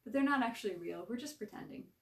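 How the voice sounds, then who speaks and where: A woman's voice: a little high, animated, quick pace. A woman voicing a stubborn, teasing boy in a children's story, casual and offhand, in a roomy recording. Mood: defensive. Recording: roomy echo, far from mic.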